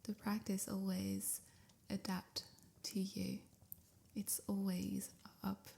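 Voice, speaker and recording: Woman, soft breathy near-whisper, very slow, mellow and creaky, soothing meditation guide. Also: medium pitch, monotone. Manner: soothing, unhurried, measured phrases. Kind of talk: guided sleep meditation, scripted.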